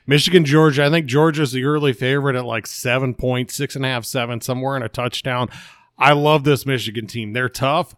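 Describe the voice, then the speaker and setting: A man co-hosts a casual sports chat, cutting in bluntly and pushing his points hard. His voice sits at an ordinary pitch and sounds close and dry. He talks like he is among friends. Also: steady tone, a little fast, fairly bright tone, no echo. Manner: emphatic, argumentative banter. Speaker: podcast co-host. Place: indoor room at home.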